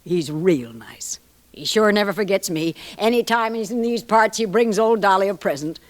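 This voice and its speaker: An actress playing a flirtatious, folksy American madam of a frontier boarding house in a radio western, gushing and familiar. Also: female voice, medium pitch, animated, normal speed, lightly acted. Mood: fond, admiring. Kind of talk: old-time radio western acting.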